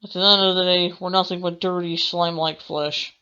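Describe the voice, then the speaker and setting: A woman's voice: fairly low pitch, level tone, fairly quick, sharp tone, smooth, muffled recording. A woman hosting a gaming let's play, reading aloud in a dramatic manner with the odd stumble. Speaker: gaming channel host.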